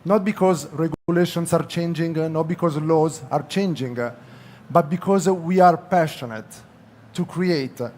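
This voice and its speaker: An Italian man with an Italian accent giving a product unveiling speech at a press conference, halting often as he goes. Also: fairly high pitch, a little hiss or hum. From Italy. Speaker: company executive presenting at a press conference.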